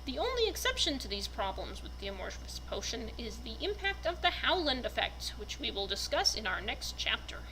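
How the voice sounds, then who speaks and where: A woman's voice: on the high side, very animated, brisk, hardly any pauses, sharp tone, a little hiss or hum, rough recording. A woman speaking expressively and with enthusiasm as a fan narrator, in the manner of a dramatic reader. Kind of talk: dramatic reading and casual fan commentary.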